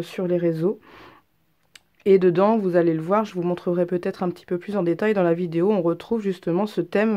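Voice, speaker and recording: A woman craft vlogger with a stuffy head cold talks casually through her handmade work. Her voice sits a little low and sounds mellow and soft-edged, and she pauses often with hesitant ums. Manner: chatty, hesitant, many ums. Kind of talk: casual craft show-and-tell.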